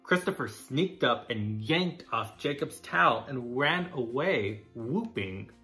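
A man reads a picture book aloud with drag storytime drama. His deliberate delivery is slow and highly animated in pitch, with a bright tone. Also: soft background music. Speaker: drag queen storytime reader. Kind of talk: expressive children's picture book narration. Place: small furnished room indoors.

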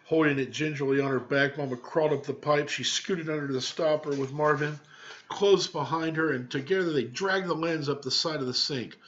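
A middle-aged man reading a children's book aloud as a teacher, pausing to explain words in plain, informal language. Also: on the high side, fairly bright tone. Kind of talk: read-aloud storytelling with word explanations. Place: small home room with cabinets, indoors. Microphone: webcam microphone at arm's length. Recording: dull webcam microphone sound.

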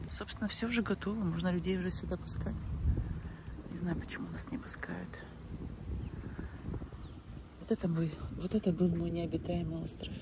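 A woman's voice: on the low side, fairly even intonation, quick pace. Older woman vlogging casually over noisy ambience. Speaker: travel vlogger. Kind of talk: casual first-person vlog chat. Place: outdoors by the shore, in a car, in a cafe.

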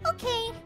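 A woman's voice: very high-pitched, exaggerated voice. A woman voicing a hyper, bubbly young female party pony in a fan-made cartoon radio play, with bouncy, scatterbrained chatter.

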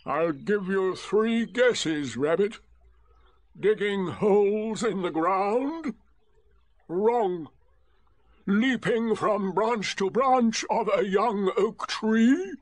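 A man voicing a gloomy, sarcastic old male donkey who speaks in dry, drawn-out remarks. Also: high voice, no room sound, voice pushed up.

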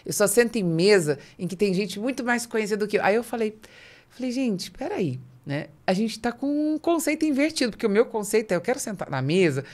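A woman's voice: mid-range voice, very animated, brisk, a little mellow. Brazilian woman, emphatic podcast host. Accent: Brazilian Portuguese. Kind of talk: conversational business podcast interview. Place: small podcast studio with curtains, indoors. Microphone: close studio mic on boom arm.

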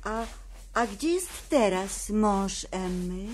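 A woman's voice: medium pitch, animated, slow pace, a little mellow. An actress playing a kindly, naive troll mother in a radio play, polite and curious. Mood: politely curious. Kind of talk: Polish radio play acting.

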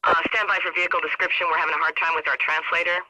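A man's voice: fairly high pitch, little pitch movement, rapid-fire, dry recording. A man playing a formal, procedural police dispatcher in a video game, heard through a noisy radio filter. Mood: businesslike. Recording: loud static-like radio noise.

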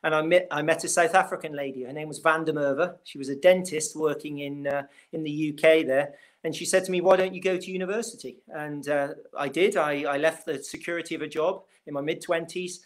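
A middle-aged man with a West Country English accent speaks quickly, his voice sitting a bit higher than most men's. He talks as a historian guest over a webcam call, fluent and storytelling in manner. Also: steady tone. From West Country of England. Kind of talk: interview on a video call. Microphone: video call webcam.

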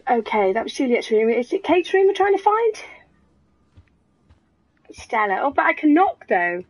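A Welsh woman with an accent softened by London, giving chatty, jokey gameplay commentary and swearing now and then. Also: high-pitched, sing-song, normal speed, husky. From Wales. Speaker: let's play streamer.

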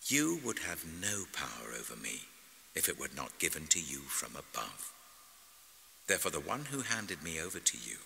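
Solemn, unyielding holy man , played by a man, deep and measured, for a scripture drama set in heavy reverb. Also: very animated, gravelly, sharp tone, a little hiss or hum, exaggerated voice. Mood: grave, assured. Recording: long hall-like reverb.

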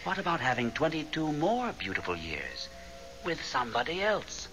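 An actor playing a genial, evasive ghost with a measured, coaxing, theatrical manner in a 1970s radio drama. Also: male voice, on the high side, very animated, music in the background. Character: ghost man, genial, evasive, gently persuasive go-between. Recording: noisy old radio broadcast recording.

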